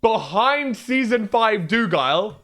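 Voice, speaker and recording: Young man, hoarse, joking casual streamer chat, swearing. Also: high-pitched, very animated, takes it slowly, pushed and bright. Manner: joking, trash-talking, swears a lot.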